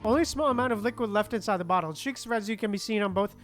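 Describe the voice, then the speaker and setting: A woman's voice: mid-range voice, racing, soft background music. A woman streaming a game with casual, musing, sweary commentary, reading game text aloud.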